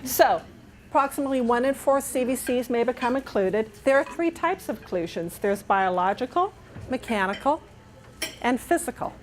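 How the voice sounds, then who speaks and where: A middle-aged woman from England, with no accent left, giving a lively, chatty clinical talk to a room of nurses. Her voice sits a bit high, and she keeps turning to the audience for agreement. Faint room ambience sits behind her, picked up from a camera across the room. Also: moderate pace, a little hiss or hum. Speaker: nurse educator. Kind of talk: conference presentation. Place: dining room with large windows.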